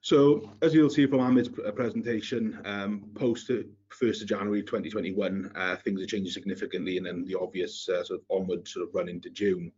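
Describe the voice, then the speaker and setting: A man with a Welsh accent presents in a business webinar, his voice very low and a bit husky. He hesitates often, filling gaps with ums and restarts. The sound is muffled, as from an online call. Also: fairly even intonation, fairly quick, few pauses, darkish tone. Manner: hesitant, many fillers and restarts. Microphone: video call.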